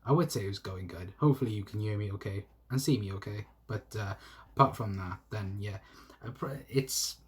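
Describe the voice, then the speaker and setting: A man's voice: fairly low pitch, slightly rough. A young man with a South Welsh accent, chatting casually on a football live stream from his phone, rambling with many restarts. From South Wales. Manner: rambling, halting with restarts and laughs. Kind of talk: casual live stream chat reading comments. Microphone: phone mic.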